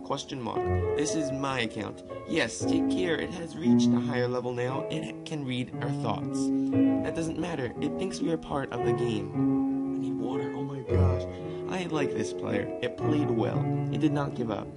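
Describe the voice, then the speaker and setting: A man's voice: medium pitch, measured pace. A man doing casual game commentary and amateur read-aloud narration, informal, with stumbles and ad-libs. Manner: informal, loosely reads text with stumbles and ad-libs. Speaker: gaming video narrator. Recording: music playing behind the voice. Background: guitar and piano music.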